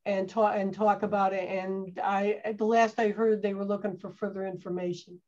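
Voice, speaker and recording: A woman's voice: mid-range voice, little pitch movement, normal speed, dark tone. A woman who heads a town parks department, answering questions at a meeting with some hesitant restarts, speaking from a home office on a video call. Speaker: parks and recreation staff head. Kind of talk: casual meeting discussion. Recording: dull video call audio.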